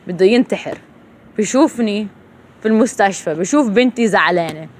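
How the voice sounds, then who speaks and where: Rambling, profane woman switching languages. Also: medium pitch. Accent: rural Levantine Arabic when speaking Arabic. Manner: emotional, profane, switches between Arabic and English. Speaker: vlogger talking to herself. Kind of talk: rambling personal monologue.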